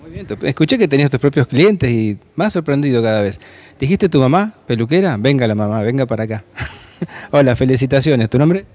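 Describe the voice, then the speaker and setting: A man's voice: medium pitch, expressive, a little fast, a little mellow. A man with an Argentine accent, a reporter asking friendly, upbeat questions at an indoor event. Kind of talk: on-the-spot event interview. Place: indoor school hall. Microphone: handheld microphone.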